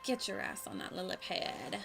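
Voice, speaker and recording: A woman's voice: mid-range voice, sing-song, normal speed, gravelly. A woman livestreaming a video game with casual commentary, in a playful, gushing and informal manner. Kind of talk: casual live gameplay commentary. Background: music.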